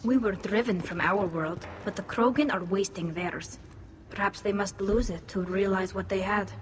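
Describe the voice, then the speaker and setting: An actress playing an earnest, self-conscious young masked alien woman in a sci-fi game, speaking haltingly with a lightly synthetic sound. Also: medium pitch, noisy background, natural voice. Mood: solemn. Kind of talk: cinematic sci-fi game acting. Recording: loud music behind, slight echo.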